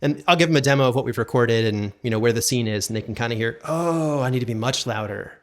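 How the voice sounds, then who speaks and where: A man with a mid-pitched voice talks casually as an interview guest. He sounds agreeable and enthusiastic, explaining his craft informally. He is recorded close on a studio condenser microphone. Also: fast. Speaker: podcast guest, audio drama producer and sound designer. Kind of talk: casual interview chat about audio production.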